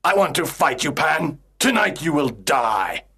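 A woman plays a gloating pirate captain from a storybook, full of menace and theatre. The pitch swings widely as he taunts and threatens, in a close, dry sound. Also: sing-song, a little slow, creaky, a bit bright, slightly rough, no echo, full character voice. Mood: angry threat. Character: cruel, gloating male pirate captain villain. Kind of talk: acted storybook dialogue.

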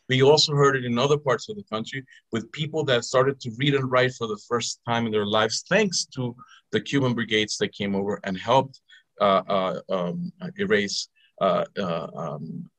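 A man's voice: medium pitch, fairly bright tone, rough recording. A man in his forties with a Venezuelan Spanish accent, speaking English as a second language in an impassioned speech, earnest with frequent restarts and filler sounds, recorded on a video call through earbuds in a home study. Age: 40s. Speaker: government official speaking at a panel. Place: home study with bookshelves, indoors.

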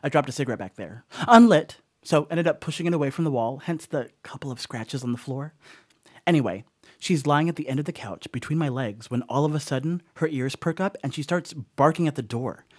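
An actor playing a sheepish young stoner house-sitter in an audio horror monologue, rambling apologetically with casual asides. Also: male voice, a little high, a little fast, dry recording, clean studio sound, natural voice. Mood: uneasy storytelling.

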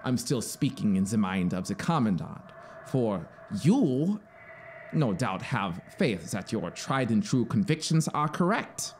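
A man's voice: on the low side, big pitch swings, measured pace, darkish tone, a little hiss or hum. Man voicing a zealous, insistent colony officer. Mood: insistent, agitated. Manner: emphatic, persuasive, rhetorical. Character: zealous, scheming male penal colony officer, insistent and aggrieved. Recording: clear background synthesizer ambience.

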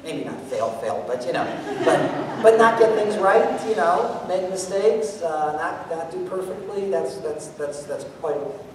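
A middle-aged American man giving a live talk on stage and taking audience questions, animated and jokey as he thinks aloud. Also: sits high, measured pace. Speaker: guest speaker, mathematician performer. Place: theatre stage, indoors. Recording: echoey hall from far off, noisy.

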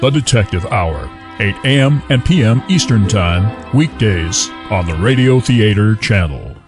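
A man's voice: lowish voice, animated, measured pace. A man reading a scripted promo as the announcer for a radio channel. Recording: loud music under the voice. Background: loud music.

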